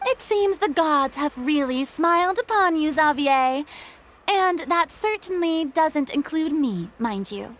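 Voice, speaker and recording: Wry teasing woman, high-pitched, slow, drawling, game dialogue. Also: animated, fairly bright tone, slight background noise. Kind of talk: video game character dialogue.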